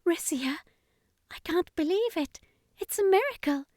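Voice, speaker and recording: A woman voicing a grieving alien mother in an audiobook, tearful and breathy, speaking haltingly. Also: very high-pitched, expressive, darkish tone, no room sound, studio quality, pitched above the performer's own voice. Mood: tearful relief.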